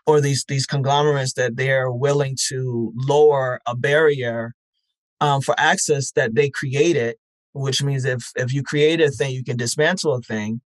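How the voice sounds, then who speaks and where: A man gives thoughtful interview answers in a medium-pitched voice. He speaks earnestly and haltingly, often repeating words while he works out a thought. The sound is dry, with very little room in it. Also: steady tone, dry recording, rough recording. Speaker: interview guest, festival founder. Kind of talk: reflective conversational interview.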